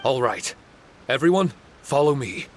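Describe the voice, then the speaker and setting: Earnest, musing spirit companion. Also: male voice, medium pitch, sing-song, measured pace, background noise. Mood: calm, assured. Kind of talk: video game voice acting.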